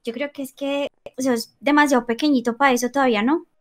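A woman's voice: sits high, steady tone, fast talker. A woman chatting in character during a game roleplay, affectionate and teasing. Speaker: roleplay player.

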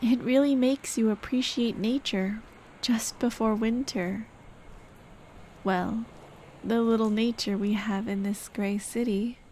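A woman playing a tender, playful late girlfriend in an ASMR roleplay, speaking in a gentle, coaxing, teasing way. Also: a little high, steady tone, takes it slowly, poor audio. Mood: wistful, gentle. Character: tender, playful dead girlfriend comforting her grieving partner. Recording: clear ambient noise behind. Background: ambient sound effects.

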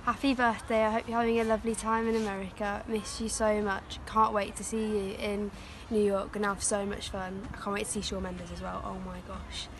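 Young woman, gushing and excited. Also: mid-range voice, fairly quick, noisy background. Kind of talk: video birthday message. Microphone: phone camera.

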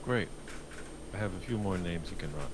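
A man's voice: low-pitched, animated, moderate pace, rough recording. A man playing a terse, questioning police detective in a table read of a film script. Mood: businesslike.

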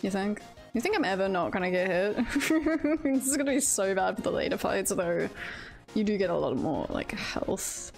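A woman with a medium-pitched, noticeably creaky voice chats casually while playing a game on stream. She thinks out loud at an unhurried pace, often repeating her own phrases. Music plays behind her. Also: big pitch swings. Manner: chatty, self-talking, often repeats phrases. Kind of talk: casual live game commentary, thinking aloud.

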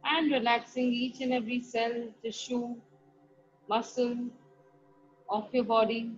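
A woman with a fairly high voice leads a guided meditation, speaking very slowly and softly with long pauses between phrases. The recording is dull and lo-fi, with faint music underneath. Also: level tone. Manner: soft, slow instructions with long pauses. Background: soft background music.

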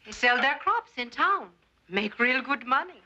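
An actress playing a sharp, matter-of-fact prairie farm wife in a television period drama. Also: female voice, high voice, animated, a little slow, heavily acted. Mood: brisk, needling. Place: farmhouse kitchen set. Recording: roomy, dull, faintly noisy TV soundtrack.

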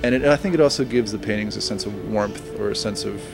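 Low, creaky-voiced man, thoughtfully halting. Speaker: painter and art teacher. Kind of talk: casual on-camera interview about own work. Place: busy restaurant during an art reception. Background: music.

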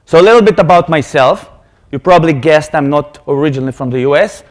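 Israeli man in his thirties, slightly higher voice, earnest conference presenter at a podium mic. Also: quick pace. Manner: earnest, explanatory, repeats words when restarting. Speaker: conference speaker. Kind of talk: technical conference talk. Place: lecture hall stage. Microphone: podium microphone.

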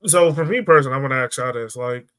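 A man's voice: mid-range voice, rapid-fire, pushed and bright. A man in his thirties hosting a casual sports chat on a video call, asking questions in an informal, conversational way. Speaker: podcast host. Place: indoor room at home, video call. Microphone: video call microphone.

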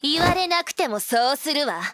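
Spirited, polite young female rookie soldier played by a woman, very high voice, voice drama. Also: lively intonation, moderate pace, a bit bright, no echo, studio quality. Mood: indignant. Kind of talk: Japanese voice drama acting.

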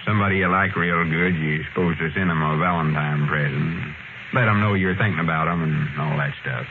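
A man's voice: rumbling low, fairly quick. A man playing an earnest, lovestruck old country storekeeper with a rural Southern accent and folksy, hesitant talk, in radio comedy style. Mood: earnest explaining. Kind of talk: 1930s-40s radio comedy acting. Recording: old radio broadcast, narrow band, noisy.

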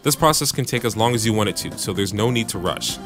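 A man with a bassy voice casually narrating a home recording tutorial, with joking asides, on a studio mic in his home room. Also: expressive, fast talker, over music. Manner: laid-back, joking asides. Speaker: music producer and YouTube tutorial host. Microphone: studio microphone through audio interface.

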